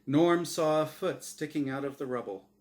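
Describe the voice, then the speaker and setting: A man's voice: fairly high pitch, normal speed, darkish tone. A man reading his own fiction aloud as a dramatic scripted narration. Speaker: author reading his own short story.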